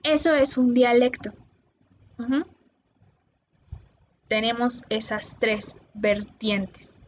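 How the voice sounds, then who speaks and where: A Mexican woman tutoring linguistics online, explaining patiently and pausing often with "eh". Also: on the high side, highs cut off. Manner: patient, explanatory, with many "eh" pauses.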